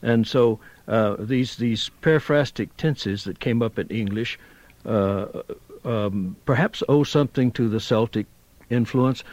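A male scholar on a 1990s radio show explains his examples haltingly in a mid-range voice. The sound is dull and dry. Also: mid-range voice, darkish tone, no room sound. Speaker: guest linguistics professor. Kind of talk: radio interview.

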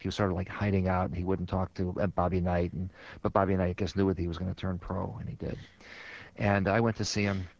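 An older man from Chicago tells sports stories in a halting way, full of "uh"s and restarts. His voice sits low. The sound is dry and dull, like an old radio broadcast. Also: vocal fry, no echo. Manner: halting, many fillers and restarts. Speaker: guest, sports writer. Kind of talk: conversational storytelling.

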